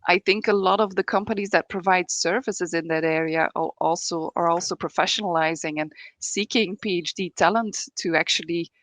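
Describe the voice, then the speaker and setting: A woman adding her view as a guest on a panel, halting and restarting with frequent uhs. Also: fairly low pitch, fairly even intonation, fairly bright tone, no echo. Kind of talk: panel discussion.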